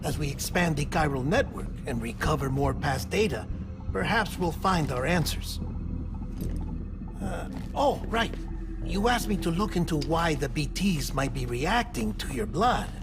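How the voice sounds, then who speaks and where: Earnest middle-aged male med tech for a cinematic game, lightly high voice, measured and clinical, music behind. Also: animated. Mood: reassuring, apologetic. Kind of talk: cinematic video game acting.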